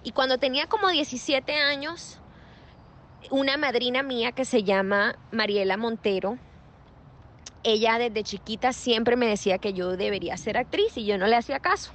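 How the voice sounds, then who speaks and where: A young adult woman from Venezuela, an actress answering interview questions in an animated way, with quick restarts and repeated words. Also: on the high side. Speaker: actress guest.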